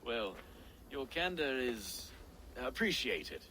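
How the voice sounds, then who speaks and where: A man's voice: mid-range voice, very animated, slow pace, bright, forceful tone, slight background noise, full character voice, low-quality recording. A male actor with a British accent playing an affable, burly medieval London reeve in a video game, courteous and wry. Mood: genial. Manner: courteous, wry, old-fashioned turns of phrase. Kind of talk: video game dramatic dialogue.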